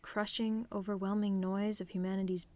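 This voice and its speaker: A woman playing a telepathic villainess in an ASMR roleplay, sardonic and theatrical, menacing with a tender streak, in deliberate phrasing. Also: medium pitch, level tone, darkish tone, dry recording, natural voice. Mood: intrigued, softening. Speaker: audio roleplay performer.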